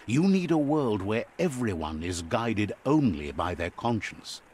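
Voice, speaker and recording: Solemn video game narrator, low voice, storybook delivery, faint ambient noise behind. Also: male voice, big pitch swings, a little slow, darkish tone.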